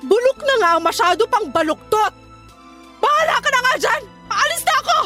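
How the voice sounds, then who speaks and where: A woman's voice: extremely high pitch, sing-song, normal speed, pushed and bright, soft background music, full character voice. An actress with a Filipino accent playing a betrayed, furious young woman in a radio drama, scolding with intense anguish. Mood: indignant, scornful. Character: betrayed, furious, heartbroken young woman leaving her cheating boyfriend.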